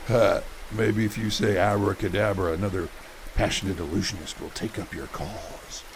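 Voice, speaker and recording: A man acts out a bitter, washed-up stage magician in a radio mystery play. His low voice creaks with fry and sounds gruff and dismissive. A faint noise sits behind the recording. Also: steady tone, moderate pace. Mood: dismissive, scornful. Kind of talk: radio mystery drama acting.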